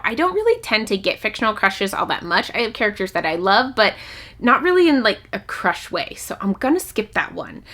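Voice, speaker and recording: A woman's voice: mid-range voice, bright, forceful tone. A woman talking casually and enthusiastically to her audience, in the chatty style of an online reviewer. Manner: enthusiastic, gushing about favourites. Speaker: book reviewer and channel host. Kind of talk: casual chatty book talk to camera.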